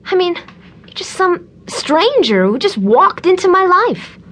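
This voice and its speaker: Guarded woman, very animated delivery. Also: very high voice, a little slow, exaggerated voice. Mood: exasperated, defensive. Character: guarded, flustered woman reading a suitor's letters aloud. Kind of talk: audio drama acting, conversational monologue.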